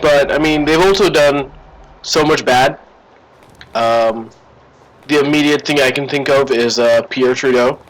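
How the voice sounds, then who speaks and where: A man's voice: mid-range voice, unhurried, rough recording. Man streaming a game, wry banter. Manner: joking, sarcastic banter with chat. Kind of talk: casual live-stream commentary while playing.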